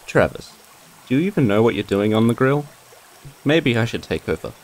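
A man's voice: mid-range voice, very animated, moderate pace. A man playing a cocky young rival in a roleplay audio drama, casual and bickering. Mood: needling.

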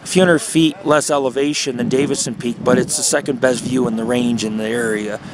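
A man's voice: medium pitch, fairly even intonation, a little fast. A man giving a casual, rambling tour narration as a vlogger, speaking outdoors into a handheld camera. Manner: chatty, rambling. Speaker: vlogger and paranormal investigator. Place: outdoors in a small town street. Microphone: handheld camera mic. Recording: noisy outdoor recording with traffic ambience.

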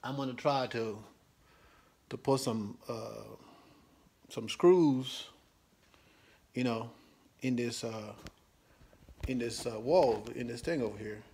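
A man's voice: mid-range voice, slow, vocal fry, pauses often. A middle-aged man talking casually while working on his unfinished room, rambling with many fillers, picked up by a camera across the room. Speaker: DIY vlogger. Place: unfinished room with insulated walls, indoors. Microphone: camera on a stand across the room. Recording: echoey room with loud tool noise.

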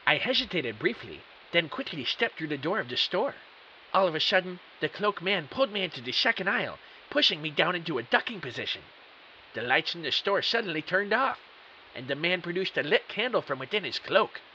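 A man's voice: on the high side, sing-song, brisk, pushed and bright, heavily acted. A man playing a bewildered computer technician who narrates his own mystery audio drama with earnest, melodramatic emphasis. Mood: dramatic, intrigued. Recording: dull, hissy audio.

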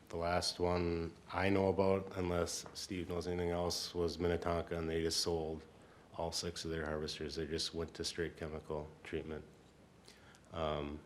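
A man speaking as a city staff member, explaining things at a council meeting in an informal, halting way. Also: low voice.

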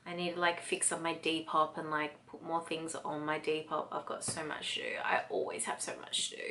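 An Australian woman in her thirties talks to the camera in a casual, chatty vlog style. Her voice sits a little low. She is recorded at home in a small furnished room, a short distance from the camera. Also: level tone, a little fast, pushed and bright, a little hiss or hum. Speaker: vlogger and mother of a toddler. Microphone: camera a short distance in front.

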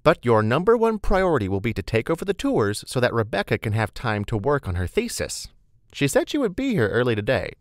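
Man voicing a chatty, motherly woman, pitched high. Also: wildly swooping pitch, no room sound, studio quality. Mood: bustling, welcoming. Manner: talkative, welcoming, brisk. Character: chatty, motherly, bustling woman museum staffer. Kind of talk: audiobook character dialogue.

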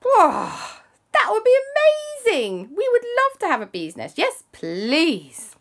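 A woman doing a gushing, high-pitched zookeeper. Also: wildly swooping pitch, slow pace, exaggerated voice. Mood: thrilled. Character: excited female zookeeper Claire.

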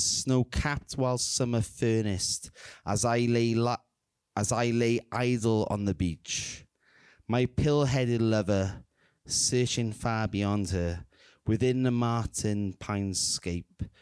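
A man in his thirties performing at an open mic, rambling and self-deprecating between slow, deliberate poem readings into a stand mic on a small indoor stage. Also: fairly low pitch, darkish tone, smooth, no room sound. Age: 30s. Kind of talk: spoken word poetry reading. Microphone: stand mic, reading from phone.

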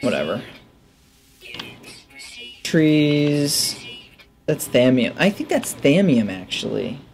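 A man's voice: medium pitch, very animated, slow, vocal fry, a little mellow, faint music behind. A man giving casual, offhand gameplay commentary, musing aloud to himself into a headset mic. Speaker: gaming let's player. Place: indoors at a desk.

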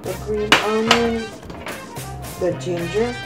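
Slow, slightly hoarse woman in her kitchen. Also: mid-range voice, over loud music. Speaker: cooking vlog host. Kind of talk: casual cooking demonstration. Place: home kitchen, indoors.

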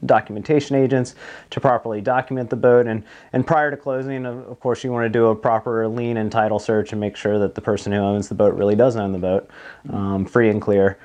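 A man's voice: on the low side, fairly even intonation, brisk, darkish tone, lo-fi sound. A man in his thirties speaking as a broker being interviewed, explaining in a conversational way in a small office. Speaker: marine broker, interview guest. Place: small office meeting room, indoors.

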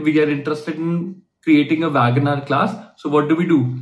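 A young man, hosting a coding tutorial and explaining code step by step in a steady teaching manner, asking himself questions as he goes. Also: on the high side, roomy sound. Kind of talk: screen-share programming lesson. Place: small indoor room at a desk.

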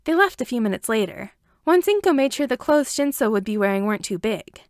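Lively high-pitched woman narrating a story. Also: a little fast, no echo, clean studio sound. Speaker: amateur audiobook narrator.

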